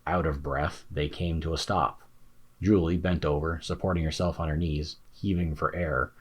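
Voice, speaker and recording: A man with a low, deep voice and a husky edge narrates a fantasy audiobook. His reading is scripted storytelling, carrying action and description. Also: fairly even intonation, normal speed.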